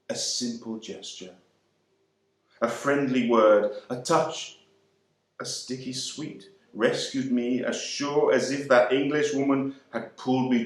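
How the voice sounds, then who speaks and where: A man giving a first-person dramatic reading of a novel monologue, measured and expressive. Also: medium pitch. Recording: roomy echo.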